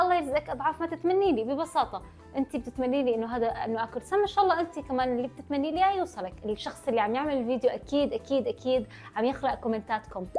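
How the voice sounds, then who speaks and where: A woman's voice: a little high, a little mellow. A young adult woman with a Levantine Arabic accent, chatting casually to her followers as a vlogger and running her words together. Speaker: vlogger answering followers' questions.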